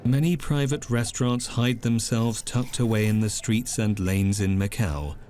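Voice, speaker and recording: A man narrating a documentary in a calm, measured, scripted way. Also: fairly low pitch, slight background noise. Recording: music underneath.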